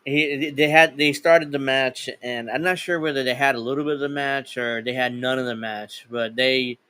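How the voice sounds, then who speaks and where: A man's voice: mid-range voice, steady tone, normal speed, bright, forceful tone, clear, resonant tone, no room sound. Male co-host, casual, rambling, chuckles a lot. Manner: rambling, laughs often, retells stories. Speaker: podcast co-host. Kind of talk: casual chat about wrestling.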